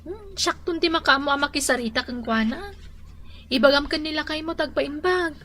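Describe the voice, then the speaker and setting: A high-voiced, patient daughter. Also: female voice, normal speed, a little hiss or hum. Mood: apologetic. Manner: soothing, gently scolding. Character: patient adult daughter calming her grumpy father. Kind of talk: Filipino radio drama acting.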